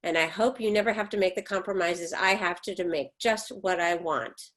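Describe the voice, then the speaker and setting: A woman's voice: on the low side, moderate pace, fairly bright tone, natural voice. A woman reading the part of an embittered, defensive middle-aged mother in a stage drama, with emphatic, reproachful delivery. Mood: bitter, insistent. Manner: emphatic, reproachful, clipped retorts.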